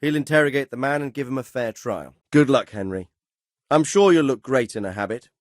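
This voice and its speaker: Man playing a measured, commanding medieval lord. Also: medium pitch, dry recording, clean studio sound, lightly acted. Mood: serious, fatherly. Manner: measured, authoritative, well-spoken. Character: dignified medieval lord, fatherly but shrewd and commanding. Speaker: video game voice actor. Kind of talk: scripted game dialogue.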